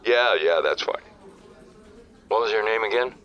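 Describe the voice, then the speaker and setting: A man's voice: fairly low pitch, measured pace, dull audio, lightly acted. An actor playing a distraught, protective husband and father in an audio drama, stammering and pleading. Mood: subdued.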